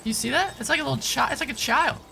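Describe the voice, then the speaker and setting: A man giving casual live gameplay commentary and chatting informally with viewers, halting and repeating himself at times. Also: very high-pitched, vocal fry, bright, forceful tone, faint music behind. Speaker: game streamer.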